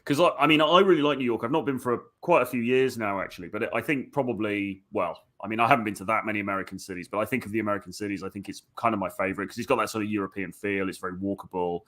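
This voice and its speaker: Guy interviewing a guest, asks slow careful questions, lots of yeahs. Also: on the low side, fairly bright tone. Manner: measured questions with many "yeah"s. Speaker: host, dating coach. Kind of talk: podcast interview.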